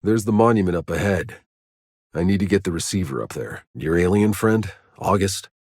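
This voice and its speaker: A man playing a gruff, wry, battle-worn soldier in a sci-fi video game. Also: low voice, lively intonation, moderate pace, darkish tone, no echo, clean studio sound. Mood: sardonic, casual. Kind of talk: video game voice lines, dramatic acting.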